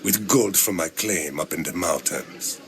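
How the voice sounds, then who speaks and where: An actor playing a sinister, mocking French cult leader in a game cutscene, with a gravelly voice and a taunting, menacing manner. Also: male voice, low voice, a bit bright, a little hiss or hum. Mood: sly bargaining. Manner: taunting, menacing, drawn-out phrasing. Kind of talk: video game cutscene acting. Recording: dramatic music behind.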